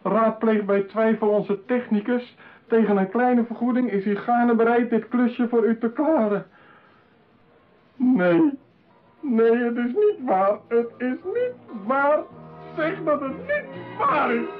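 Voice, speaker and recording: A man's voice: very high-pitched, expressive, takes it slowly, soft background music, heavily acted. An actor playing a lonely, obsessive man besotted with an artificial woman, in an intimate, theatrical radio-play monologue. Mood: desperate, distraught. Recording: muffled narrowband audio with faint noise.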